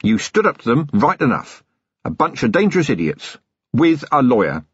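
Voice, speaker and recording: Guy playing a tough cop boss, stern and sarcastic, husky, lively delivery. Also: medium pitch, normal speed, no room sound, dull audio, pitched above the performer's own voice. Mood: hearty. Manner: stern, menacing, dryly sarcastic. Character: tough gruff middle-aged male police commander.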